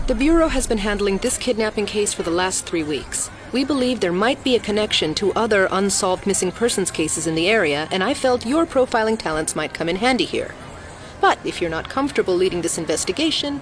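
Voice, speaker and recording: An actress playing a brisk, authoritative FBI assistant director in an audio drama, with a businesslike, commanding manner. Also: female voice, mid-range voice, animated, lightly acted.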